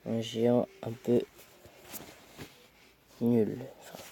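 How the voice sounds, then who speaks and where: A man's voice: mid-range voice, completely flat delivery, slow, vocal fry, dark tone, slight background noise. A young man with a cold, casually commentating his own mobile game match, chatty and self-mocking, muttering as he plays. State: stuffy with a cold.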